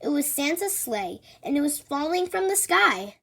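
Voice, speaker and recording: A woman's voice: high-pitched, big pitch swings, moderate pace, creaky, bright, forceful tone. A woman reading a children's storybook aloud in a narrating style. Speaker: storybook reader.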